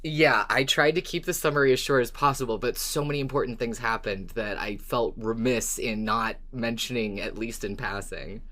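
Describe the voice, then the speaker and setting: A podcast co-host with an expressive, wry way of talking through recaps and casual discussion. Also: mid-range voice, moderate pace, hardly any pauses.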